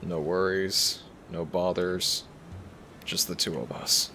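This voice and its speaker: A man playing a gentle young dragon prince, a devoted boyfriend talking tenderly to the listener in a romantic audio roleplay. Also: deep voice, steady tone, slow pace, a little hiss or hum, heavily acted. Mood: tender, relaxed. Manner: tender, affectionate, soft-spoken reassurance. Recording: music behind the voice. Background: background music.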